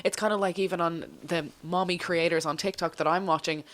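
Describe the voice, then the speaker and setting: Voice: medium pitch, steady tone, brisk, a bit bright. Chatty, agreeable podcast co-host. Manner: chatty, agreeable, lots of "yeah". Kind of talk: casual discussion.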